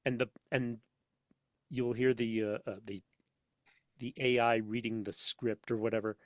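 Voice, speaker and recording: A man with a medium-pitched voice talks as a guest in a casual interview, recorded close and dry. He feels his way through sentences with pauses and rambles, then lands words with dramatic emphasis. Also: flat delivery, no echo. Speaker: podcast guest, audio drama voice actor and sound editor.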